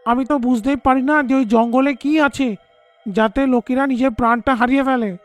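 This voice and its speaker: A worried young village man, played by a male actor in a cartoon horror tale. His voice is extremely high and earnest, with music underneath. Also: fairly even intonation, normal speed, no room sound. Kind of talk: animated horror story voice acting.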